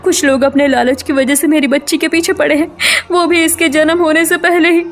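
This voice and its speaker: A woman's voice: sits high, fairly even intonation, a little fast, faint music behind, voice pushed up, natural voice. A woman voicing a desperate mermaid mother in a cartoon story, pleading and plaintive.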